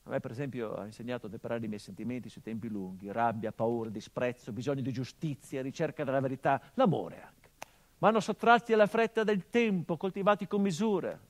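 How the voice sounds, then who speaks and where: A middle-aged actor playing a grieving son whose father was murdered, speaking a theatrical monologue in a measured, grave, introspective way. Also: medium pitch, lightly acted.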